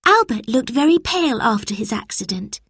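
A woman's voice: on the high side, very animated, a little fast, a bit bright, slightly rough, dry recording, studio quality, voice pushed up, heavily acted. A woman voicing a thoughtful, caring young schoolgirl in a children's story, earnest and childlike. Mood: earnest, concerned.

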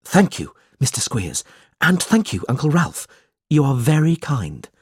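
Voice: mid-range voice, huge pitch swings, a little slow, a little mellow, slightly rough, dry recording, studio quality, heavily acted. A storybook reader voicing a polite, eager young man with a courteous, earnest manner. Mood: grateful.

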